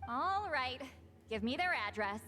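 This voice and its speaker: A woman's voice: high voice, very animated, a little slow, pushed and bright, slight background noise, heavily acted. A woman voicing a blunt, haughty young female shaman in a video game, brusque and condescending. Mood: dry.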